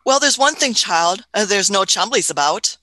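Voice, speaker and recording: A woman's voice: medium pitch, expressive, moderate pace, sharp tone, dry recording, poor audio. A woman playing an elderly, curious, imperious time-travelling scientist in a radio play, hemming and musing as she goes. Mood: pleased. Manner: hemming, musing, punctuating with "hmm" and chuckles.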